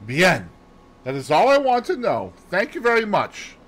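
A man's voice: high voice, wildly swooping pitch, fairly bright tone, voice pushed up, heavily acted. Man voicing a fussy, lilting detective. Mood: methodical questioning. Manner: precise, deliberate, peppered with French phrases. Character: fussy, placid Belgian male detective, growing old. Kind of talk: audiobook character dialogue.

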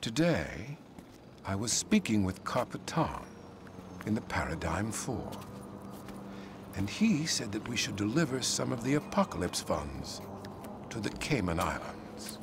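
An actor playing a serene, sly male cult leader in a video game, solemn and unctuous, breathy as phrases end. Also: lowish voice, sing-song, unhurried, noisy background. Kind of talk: video game cutscene acting.

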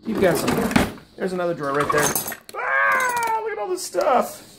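A man's voice: very high voice, wildly swooping pitch, moderate pace, very noisy. A man in his thirties hosting a casual home vlog, joking and asking questions, filmed on a handheld phone in a small kitchen. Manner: joking, teasing, asks lots of questions. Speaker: vlog host. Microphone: handheld phone camera.

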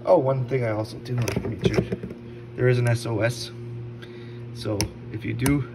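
A man giving a casual, unscripted product review in an informal, halting conversational way. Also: medium pitch, fairly even intonation. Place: indoors at a stone countertop. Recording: distant mic, clearly noisy background.

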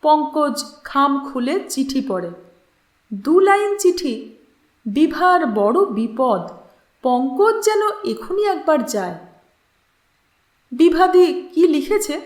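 Female audiobook narrator, very animated intonation, unhurried delivery, literary reading. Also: high-pitched. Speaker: channel host and story narrator. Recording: roomy, echoing voice recording.

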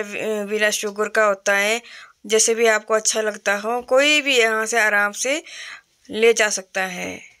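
Woman, middle-aged, flat even delivery, casual shopping vlog, phone recording in a store. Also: medium pitch. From Himachal Pradesh, India. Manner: slow, plain chatty narration with fillers. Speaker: vlogger. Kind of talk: casual shop walkthrough vlog. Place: indoor retail store.

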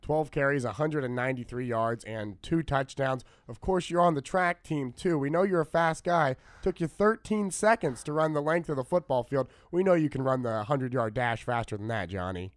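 A man hosting a college sports radio show, slightly hoarse, asking his guests relaxed questions in a studio. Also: medium pitch, moderate pace, few pauses, no room sound. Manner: excited play-by-play calling, then relaxed questions. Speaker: radio host and play-by-play announcer. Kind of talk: casual radio interview.

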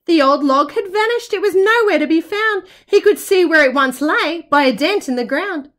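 Kindy teacher lady reading a rhyming picture book, really high voice, sing-song and dramatic. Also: lively intonation, moderate pace, fairly bright tone. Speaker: early-childhood teacher reading aloud. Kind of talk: children's storybook narration in rhyme.

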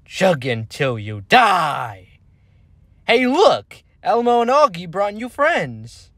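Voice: mid-range voice, huge pitch swings, slow pace, a bit bright, dry recording, studio quality, heavily acted. A performer voicing a vain, menacing red train engine villain, taunting and boastful in theatrical game voice lines. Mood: boastful menace.

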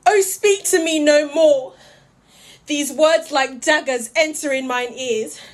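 An anguished queen played by a woman begs in a high, slow voice. It is a theatrical Shakespearean lament from a mother in distress. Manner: pleading, swooping, slow lament. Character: anguished royal mother begging her child to stop. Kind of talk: Shakespearean audio drama acting.

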